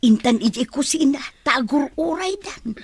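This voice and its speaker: An actress playing a gossipy, bossy but kindly household helper woman with a husky voice in an Ilocano radio drama. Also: sits high, lively intonation, normal speed. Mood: confiding.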